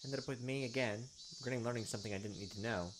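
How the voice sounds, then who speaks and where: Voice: mid-range voice, very fast. A gameplay host with a raw, scratchy throat, mixing casual, wry commentary with reading visual novel text aloud. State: sore, scratchy throat from winter weather. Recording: music behind the voice.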